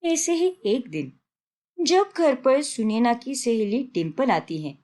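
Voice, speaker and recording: Slow, expressive woman storyteller. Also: a little high. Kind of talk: scripted cartoon story narration.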